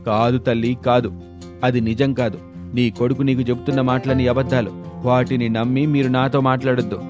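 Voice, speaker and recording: A man's voice: mid-range voice, flat delivery, brisk, close to an everyday voice. Man voicing a bullying, sarcastic moneylender, music behind. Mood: angry, sarcastic. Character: loud harsh male moneylender demanding repayment. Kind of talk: dramatic storybook dialogue.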